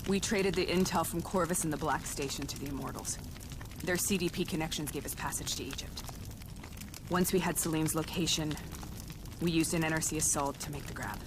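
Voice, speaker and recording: A woman's voice: sits low, a little fast, noisy background, natural voice. An actress playing a haunted woman soldier, grave and reflective, in a cinematic video game. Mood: grimly confessional. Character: haunted female soldier, obsessed and grimly confessional. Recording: music behind the voice. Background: cinematic music.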